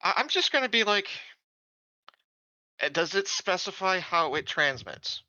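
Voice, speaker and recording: A man chatting casually as a player in a tabletop roleplaying game, halting and joking as he speaks for his character. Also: high voice, sing-song, sharp tone, no room sound, lo-fi sound.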